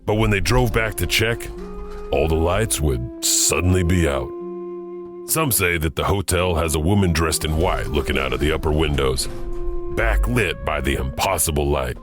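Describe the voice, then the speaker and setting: Deep-voiced male horror narrator. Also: expressive, moderate pace, music in the background.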